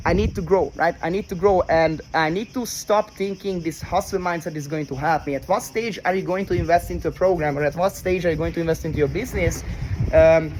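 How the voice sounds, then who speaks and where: Brash young man with a high voice. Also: a little hiss or hum. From Hungary. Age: 20s. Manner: brash, repetitive, tags sentences with "right?". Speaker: online business coach. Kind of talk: motivational direct-to-camera talk. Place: rented villa abroad.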